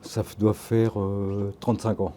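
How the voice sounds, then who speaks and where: A man in his sixties from Normandy, telling relaxed, rambling anecdotes in a casual interview as a tattoo artist and photographer. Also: lowish voice, a little slow, slight background noise. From Normandy, France. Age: 60s.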